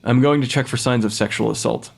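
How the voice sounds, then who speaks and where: A man's voice: mid-range voice, steady tone, quick pace, vocal fry. An actor playing a blunt young male pathologist in an audio drama, speaking in a matter-of-fact, clinical way. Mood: clinical, detached. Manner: clinical, matter-of-fact, dictating notes formally. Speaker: actor in fiction podcast.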